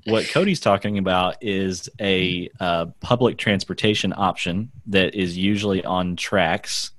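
Deep-voiced male host, dry humor. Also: fairly even intonation, measured pace, fairly bright tone, dry recording. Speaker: podcast host. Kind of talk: casual chat.

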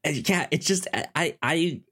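A man co-hosting a casual review podcast, halting and stammering as he repeats words while he talks. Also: a little high, bright, forceful tone. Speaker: podcast co-host reviewing a TV show.

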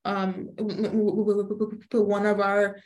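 A woman's voice: mid-range voice, flat delivery, very slow, darkish tone. A young woman, a student guest in an interview, speaking with many fillers and stuttered repetitions. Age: young adult. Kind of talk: interview answers.

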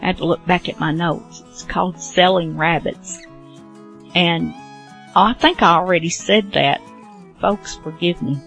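A woman hosting a radio show with a casual, halting, rambling and apologetic introduction. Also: on the low side, vocal fry, a bit bright, faint music behind, low-quality recording. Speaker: host of old radio drama show.

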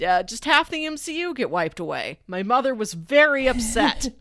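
A woman's voice: fairly high pitch, sing-song, normal speed, fairly bright tone, dry recording, studio quality. A woman co-hosting a podcast, chatting casually and telling stories in a conversational way. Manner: chatty, quotes dialogue with frequent "like". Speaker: podcast co-host.

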